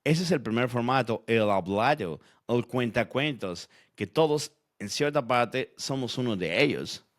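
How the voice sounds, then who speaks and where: A man's voice: mid-range voice, lively intonation, unhurried, hardly any pauses, lightly acted. A man playing a logical-minded character who explains storytelling in a scripted educational audio fiction. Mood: explanatory. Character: logical-minded man debating how stories work.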